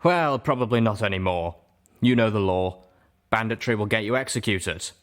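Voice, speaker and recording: Man playing a stern, deliberate pony guard. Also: fairly low pitch, natural voice. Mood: grim. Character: stern, skeptical male pony security guard.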